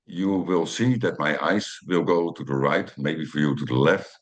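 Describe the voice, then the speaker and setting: Dutch guy in his 50s, super low deep voice, lots of ums, telling his story from notes. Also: moderate pace. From Netherlands. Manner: hesitant, many ums and uhs, reads from notes. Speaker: channel host. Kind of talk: personal storytelling to camera.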